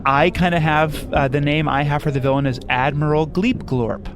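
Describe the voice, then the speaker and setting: A man's voice: fairly high pitch, fairly even intonation, moderate pace, noisy background, lightly acted. A man playing an earnest, smugly oblivious sci-fi screenwriter in a comedy sketch, hesitant and repetitive. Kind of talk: comedy sketch dialogue, pitch meeting.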